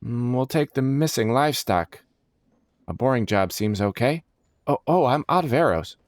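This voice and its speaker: A man's voice: medium pitch, animated, moderate pace, no room sound, clean studio sound, pitched above the performer's own voice, close to an everyday voice. A man voicing an eager, proud male adventurer who explains things earnestly, as storybook character dialogue. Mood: resolute.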